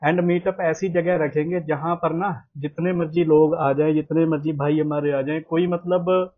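A man's voice: a little high, fairly even intonation, normal speed. Chatty young man, thin phone-like sound. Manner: chatty, repeats himself, sprinkles English words. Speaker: vlogger host. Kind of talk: casual chat to viewers. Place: small room at home, indoors.

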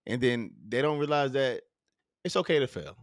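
A man's voice: medium pitch, animated, moderate pace, no echo. A man, hosting a casual podcast interview, talking loosely with many fillers and restarts on a studio mic. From southern United States. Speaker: podcast host. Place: home studio. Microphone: studio mic with headphones.

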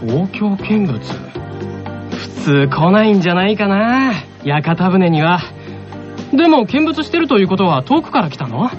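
A woman's voice: medium pitch, sing-song, unhurried. A woman playing an easygoing young male apprentice cook in a radio drama, casual and boyish. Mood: friendly, curious. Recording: dull radio recording with music.

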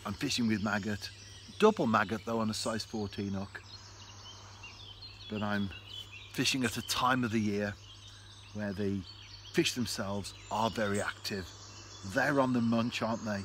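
A man's voice: on the low side, a little slow, pauses often, a bit bright. Chatty man by a canal. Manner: chatty, reflective, speaks straight to camera. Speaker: fishing vlogger. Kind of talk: relaxed outdoor vlog narration. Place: canal bank outdoors. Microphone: camera close in front. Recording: faint outdoor noise. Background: birds and countryside ambience.

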